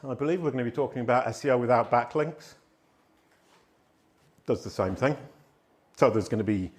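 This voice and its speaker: Middle-aged male conference speaker, loud and animated, self-mocking storyteller, average pitch, handheld mic in a hall. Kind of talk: live conference talk. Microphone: handheld microphone.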